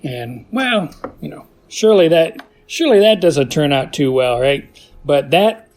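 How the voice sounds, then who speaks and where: A man giving casual, enthusiastic spoken commentary on classic TV in a halting, repetitive way, as listener feedback for a podcast. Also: a little high, lively intonation, a little mellow.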